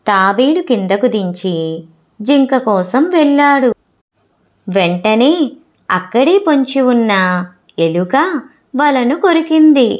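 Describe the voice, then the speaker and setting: A woman storyteller narrating a scripted children's moral fable in short, deliberate, measured phrases. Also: a little high.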